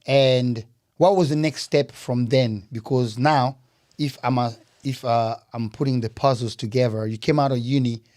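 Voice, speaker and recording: A man's voice: medium pitch, fairly even intonation, normal speed, darkish tone, dry recording, clean studio sound. A man hosting a podcast interview in casual chat, recapping his guest's story and cheering her on with "nice, nice". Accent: Scottish. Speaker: podcast host.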